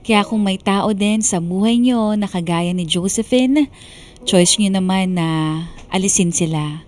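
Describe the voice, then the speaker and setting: A woman's voice: medium pitch, measured pace, a little mellow, a little hiss or hum, natural voice. An actress playing a young woman who reflectively tells her own life story in a radio drama. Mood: reflective, confiding. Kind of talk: first-person radio drama narration.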